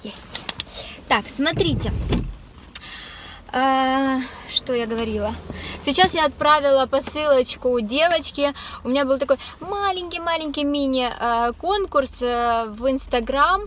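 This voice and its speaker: A woman's voice: sits high, a little slow, a bit bright. A young woman chatting casually to the camera from her parked car, with many filler sounds and light laughs. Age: young adult. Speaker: vlogger. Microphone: camera on the dashboard. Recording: faint background noise.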